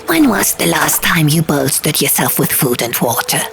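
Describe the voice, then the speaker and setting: A woman's voice: deep voice, sing-song, normal speed, gravelly, full character voice. A woman voicing a fussy, childlike male gargoyle with pompous, archaic, theatrical phrasing in a storybook audiobook. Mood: concerned. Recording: music behind.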